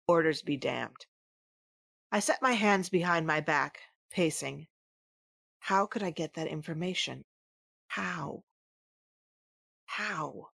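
Woman acting a low, creaky, hot-tempered commander. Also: a bit bright, dry recording, studio quality, full character voice. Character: hot-tempered, defiant female military commander in space opera. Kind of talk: first-person scripted audio drama narration and dialogue.

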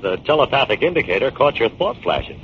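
A man's voice: mid-range voice, big pitch swings, fairly quick, lightly acted. A man playing a firm, earnest scientist crime-fighter with an authoritative manner in a 1940s radio drama. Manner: authoritative, explanatory. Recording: muffled, noisy 1940s radio recording.

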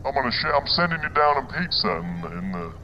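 A man's voice: a little high, big pitch swings, dull audio, over a noisy crowd. A man playing an over-eager, stammering boss congratulating a worker in an absurdist comedy audio sketch. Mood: proud, flustered. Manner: halting, stammering, insistent cheer.

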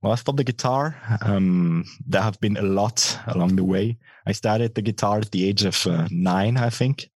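A man with a bass voice giving thoughtful, measured answers in a casual interview. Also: darkish tone, breathy edge, dry recording. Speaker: musician being interviewed, guitarist and singer.

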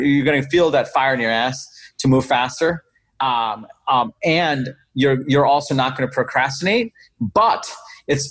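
A man born in Bangladesh and raised in Queens with a New York accent, talking as an energetic, emphatic podcast guest who acts out quoted reactions. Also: mid-range voice, husky, no echo. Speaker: guest entrepreneur. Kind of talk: conversational podcast interview.

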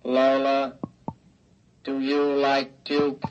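An actor playing an obedient, childlike robot in a 1950s radio drama, speaking in a halting, literal, toneless way. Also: male voice, mid-range voice, monotone, a little hiss or hum. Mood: yearning, questioning. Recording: muffled vintage radio recording.

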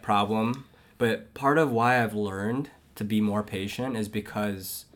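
Reflective young man hosting a podcast. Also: lowish voice. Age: 20s. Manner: reflective, slow and measured, trailing off. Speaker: podcast host. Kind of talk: casual chat.

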